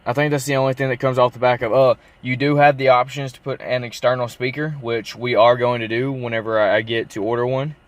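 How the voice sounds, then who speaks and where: Casual man with a clear, smooth voice. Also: mid-range voice, steady tone, quick pace, hardly any pauses. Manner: informal, unscripted, thinking aloud as he goes. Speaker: car vlogger. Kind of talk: casual show-and-tell vlog.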